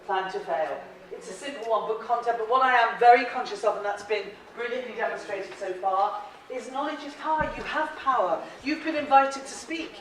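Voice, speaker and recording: A woman's voice: a little high, brisk, hardly any pauses. Irish woman trainer in an echoey hall. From Ireland. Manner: chatty and encouraging, laughs often, asks the room questions. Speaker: presentation skills trainer. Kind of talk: workshop talk to a live group. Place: large echoey training room. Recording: very echoey room audio, from a distance.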